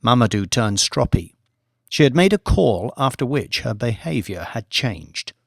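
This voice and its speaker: Casting: male audiobook narrator, mid pitch, scripted thriller prose, dry studio-style sound. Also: sing-song, measured pace, creaky, no room sound.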